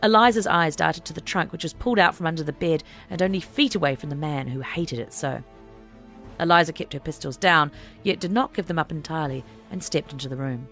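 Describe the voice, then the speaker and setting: A woman's voice: low-pitched, a little fast, fairly bright tone, faint music behind. A woman narrating an audiobook story in a dramatic, scripted reading style.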